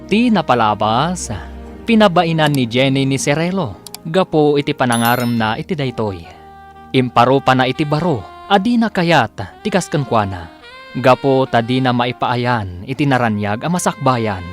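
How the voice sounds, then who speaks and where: A man's voice: mid-range voice, expressive, moderate pace, soft background music. A man with an Ilocano accent announcing and narrating a radio drama serial in a dramatic scripted style.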